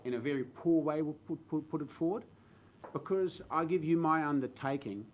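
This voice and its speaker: A man from the Netherlands with a Dutch accent, a new councillor speaking earnestly in a council chamber, halting with fillers and restarts. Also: a little high, phone-quality sound. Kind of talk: speech in a council debate. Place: council chamber with public gallery.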